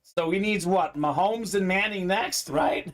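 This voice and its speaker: A man's voice: high-pitched, unhurried. A Bulgarian-born man hosting a live sports card break, chatting casually and reading out viewer comments. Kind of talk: casual live-stream chat.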